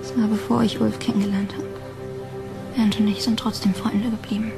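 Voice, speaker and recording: A woman's voice: mid-range voice, flat delivery, fairly quick, creaky, music in the background, lightly acted. A woman acting a grieving, guarded girlfriend in a crime radio play, giving short subdued answers. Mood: subdued, defensive. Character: grieving, guarded young girlfriend of a dead man.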